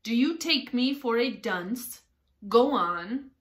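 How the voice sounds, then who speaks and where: A woman voicing a bossy, short-tempered storybook king who speaks in a sharp, commanding way. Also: medium pitch, animated, slow.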